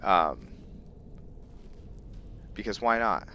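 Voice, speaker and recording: Male let's play host, lowish voice, unhurried and halting, casual commentary over faint background noise. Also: animated, sharp tone, highs cut off. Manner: halting, thinking aloud. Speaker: gamer hosting a roleplay let's play. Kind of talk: casual game commentary with character lore.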